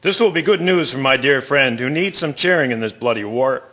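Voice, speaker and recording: Bright-voiced, formal army colonel, played by a man. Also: mid-range voice, lively intonation, fairly quick. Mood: pleased, purposeful. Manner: formal, declamatory phrasing. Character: hearty, caring male colonel, wartime army commanding officer. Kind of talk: radio drama acting.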